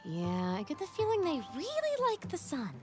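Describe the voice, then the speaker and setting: A woman voicing a spirited, wry young female warrior heroine in an animated video game cutscene, given to dry sarcasm. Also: high-pitched, moderate pace, over music. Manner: dry sarcasm, playful exaggeration.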